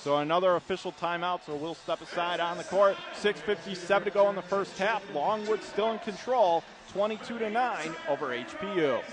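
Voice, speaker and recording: A man calling live college basketball play-by-play on the radio with excitable, projected delivery. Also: high voice, a little fast, few pauses. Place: courtside in an indoor college arena. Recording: loud arena noise behind the voice. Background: gym noise, bouncing basketballs.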